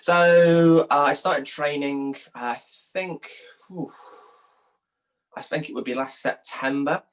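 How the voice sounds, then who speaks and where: A man's voice: fairly high pitch, slow pace. A young man from England giving informal, thoughtful interview answers as a journalist, often hedging as he talks. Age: young adult.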